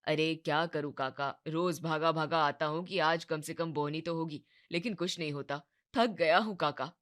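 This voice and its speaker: A woman voicing a worried, hesitant young shopkeeper man in an animated moral story. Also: sits low, normal speed. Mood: weary. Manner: hesitant, resigned.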